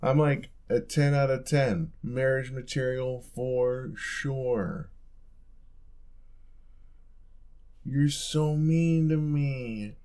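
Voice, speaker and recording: A young man playing an affectionate, playful roommate in an intimate audio roleplay, talking in a soft, casual, teasing way. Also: medium pitch, slow, close to an everyday voice. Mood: mock offended.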